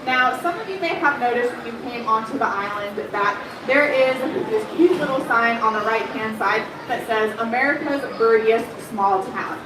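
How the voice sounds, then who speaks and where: A woman giving a lively, chatty talk on coastal birds to a live audience, asking the crowd questions and filling gaps with ums. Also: a little high, normal speed, hardly any pauses. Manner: says she has a loud voice. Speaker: bird conservation educator. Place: room at an aquarium. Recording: echoey room, far from the mic, background noise.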